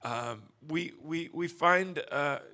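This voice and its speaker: A man's voice: fairly high pitch, drawn-out words, sharp tone. A man from Texas preaching a sermon in short phrases for an interpreter, folksy and chuckling, with frequent restarts. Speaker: pastor. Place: church auditorium.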